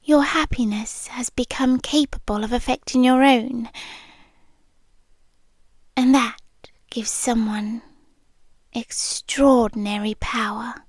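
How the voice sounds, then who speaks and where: A woman with a British accent playing a possessive, lovestruck monster girlfriend in an intimate ASMR roleplay, often dropping into breathy whispers. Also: on the high side, steady tone, dry recording. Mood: intense, awed. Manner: slow, breathy, often whispering, intense and tender. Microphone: close ASMR microphone, dry.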